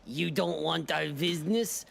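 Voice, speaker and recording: A man's voice: fairly high pitch, unhurried, gravelly, fairly bright tone, full character voice. A man playing a lecherous German sidekick with a German accent and a snickering, leering manner in a comedic audio drama.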